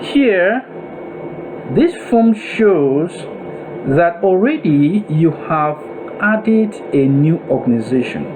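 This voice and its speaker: Slow-paced Nigerian male instructor, noisy recording. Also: a little high. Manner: deliberate, instructional, phrase by phrase. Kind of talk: step-by-step software tutorial voice-over. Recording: noisy room with steady air-conditioning-like hum.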